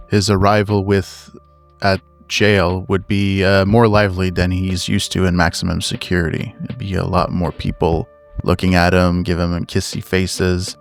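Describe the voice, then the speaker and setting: A man's voice: low voice, gravelly. A man playing a tabletop role-playing game, casually narrating what his character goes through.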